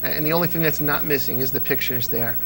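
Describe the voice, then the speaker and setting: A man's voice: a little high, quick pace, vocal fry, fairly bright tone, slight background noise, poor audio. A man being interviewed as an old-time radio fan, talking with enthusiasm and frequent restarts. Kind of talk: casual interview chat.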